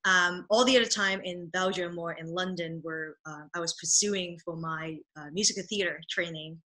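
A woman in her thirties whose first language is Cantonese, a dance therapist answering interview questions over a video call in a thoughtful, halting way. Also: fairly low pitch, fairly even intonation, pushed and bright, rough recording. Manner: thoughtful, lots of ums and restarts, laughs easily. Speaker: dance movement therapist, guest. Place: small room at home. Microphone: video call webcam mic.

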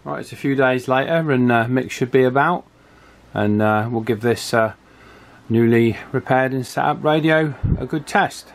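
A man's voice: mid-range voice, moderate pace. A British man giving a casual hands-on demonstration as a radio repairer, chatty and informal. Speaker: radio repairer presenting a video. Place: indoor electronics workbench.

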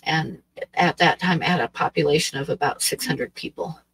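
A woman's voice: sits low, moderate pace, gravelly, fairly bright tone, breathy edge, poor audio. A woman in her sixties from Oregon, hosting a livestream and chatting casually with her viewers in a rambling, hesitant way full of fillers. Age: 60s. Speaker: livestream host. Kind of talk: casual chat with live chat. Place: small dim room with draped wall.

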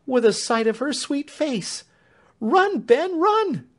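A man voicing a distraught, loyal female servant who pleads in a high, breathless voice. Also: lively intonation, unhurried, heavily acted. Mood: pleading.

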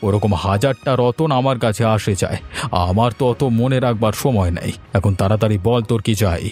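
A man's voice: on the low side, moderate pace, a little mellow, close to an everyday voice. A man voicing a haughty, miserly rich village bully in a cartoon, contemptuous and threatening, with music behind. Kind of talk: cartoon voice acting.

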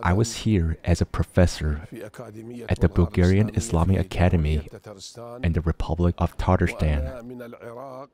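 Very deep-voiced man, formal voice-over. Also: measured pace, pauses often, a crowd in the background. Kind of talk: scripted voice-over of a religious talk.

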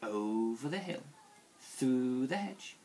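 Young man, children's story reading, expressive, unhurried pace, mid-pitched, faint background noise. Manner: animated, deliberate storytelling delivery. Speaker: school staff member reading to young children.

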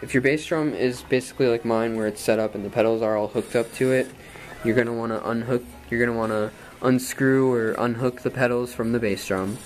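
A man in his twenties with a low voice, explaining drum tuning in a rambling, casual way with many ums, recorded in a small padded bedroom on a camera mic. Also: fairly even intonation, a little fast. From Florida, USA. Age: 20s. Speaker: drum instructor. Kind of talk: casual tutorial. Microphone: camera mic from a few feet away.

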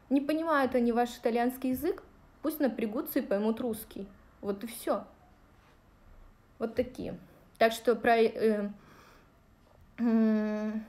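A woman's voice: on the high side, darkish tone. A woman in her thirties from Russia, chatting casually and directly to her viewers on a livestream, with plenty of fillers, recorded on a phone at arm's length in a small room. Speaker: vlogger answering viewer questions. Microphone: phone held at arm's length.

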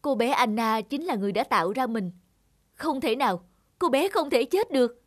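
A woman voicing a gentle, pleading snowman. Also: fairly high pitch, animated, normal speed. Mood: anxious. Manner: soft, earnest, pleading. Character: gentle, wistful snowman longing for a heart. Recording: loud music behind.

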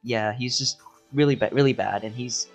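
A man playing a flustered, impatient, foul-mouthed young sorceress in an improvised comedy fandub, rambling and halting. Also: mid-range voice, faint music behind, natural voice.

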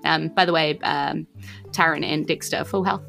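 A woman's voice: deep voice, fairly even intonation, normal speed, creaky, fairly bright tone, faint music behind. A woman with a New Zealand accent improvising tabletop game narration as game master, in an informal, conversational manner. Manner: informal, conversational, many "you know"s.

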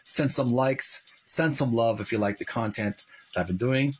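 A middle-aged man with a slightly rough, husky voice, hosting a video channel in a deliberate, emphatic way as he reads aloud and talks to viewers. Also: medium pitch, low-quality recording. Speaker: host of a video channel reading a book aloud. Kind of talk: reading aloud and chatting to viewers.

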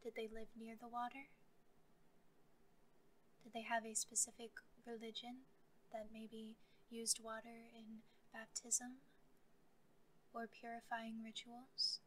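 A woman leading a guided meditation, speaking gently and calmly as she gives soft scripted instructions. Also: a little high, slow, pauses often, a little hiss or hum, low-quality recording.

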